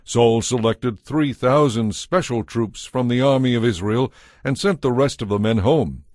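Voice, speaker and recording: A man narrating a dramatized scripture story in a measured, solemn, scripted storytelling style. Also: mid-range voice, darkish tone, no echo, clean studio sound.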